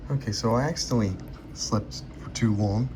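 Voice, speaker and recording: Casual man talking amid very loud noise. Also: lowish voice, fairly quick. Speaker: radio hobbyist recording broadcasts. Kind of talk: casual off-the-cuff commentary.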